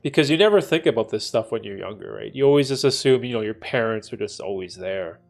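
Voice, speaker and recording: A man's voice: fairly low pitch, animated, fast, vocal fry, soft background music. A man in his late thirties hosting a casual livestream, talking in a thoughtful, rambling way and often tagging his sentences with "right?". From Canada. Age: 30s. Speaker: livestream host answering chat. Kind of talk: casual reflective livestream chat.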